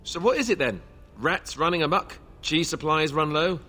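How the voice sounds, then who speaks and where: A man's voice: fairly high pitch, lively intonation, moderate pace, bright, forceful tone. An actor playing a wry, joking young king-to-be in a fantasy video game, sardonic and conversational. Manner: sardonic, self-deprecating, conversational. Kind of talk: scripted video game dialogue acting.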